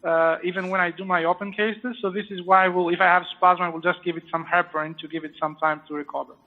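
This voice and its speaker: A man with a French accent, a surgeon explaining a live procedure as he works, halting with fillers. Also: high voice, flat delivery. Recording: tinny phone-like line.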